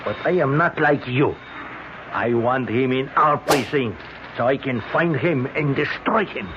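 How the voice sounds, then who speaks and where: A man's voice: mid-range voice, unhurried, close to an everyday voice. An actor playing a driven, bitter policeman in an old-time radio crime drama. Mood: bitter, determined. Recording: muffled, noisy old radio recording.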